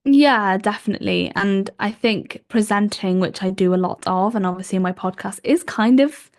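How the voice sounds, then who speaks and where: A woman's voice: medium pitch, moderate pace. A young woman in her twenties from Wales with a Welsh accent, her first language being Welsh, chatting as a podcast guest with lots of ums and likes. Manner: chatty, warm laughs, frequent ums and likes. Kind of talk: casual interview chat.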